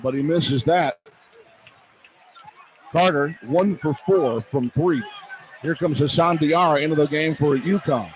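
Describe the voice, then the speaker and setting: A man doing live radio play-by-play of a basketball game in clipped, excitable running phrases that can rise into shouts. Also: a little high, measured pace, long pauses, low-quality recording. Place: courtside in an indoor arena. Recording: loud arena noise behind. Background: loud game ambience.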